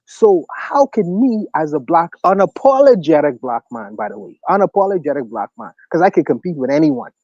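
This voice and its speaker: A man with a Bahamian accent, hosting a casual livestream discussion and asking provocative questions in an animated way. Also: a little high, fairly quick, few pauses, no room sound. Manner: animated, provocative questions, laughs at own points. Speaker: podcast host. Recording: dry, dull lo-fi mic.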